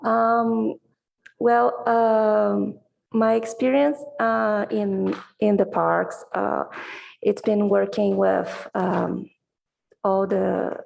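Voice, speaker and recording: Woman, Brazilian Portuguese accent, slow halting speech, heavy vocal fry, video call. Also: mid-range voice, fairly even intonation, a little mellow, lo-fi sound. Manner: hesitant, many fillers and restarts. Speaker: commission applicant. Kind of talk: nervous interview answers. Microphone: video call mic.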